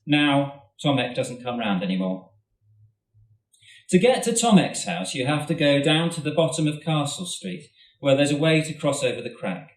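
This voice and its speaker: A man, an author, reading his own short story aloud at a festival event in a steady, measured way, recorded in a hall from a distance. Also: a little high. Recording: roomy hall, mic at a distance.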